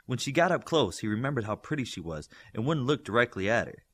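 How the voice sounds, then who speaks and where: A man's voice: medium pitch, big pitch swings, fast talker, a bit bright, slight background noise. A man narrating a scripted fiction audiobook, reading a story aloud.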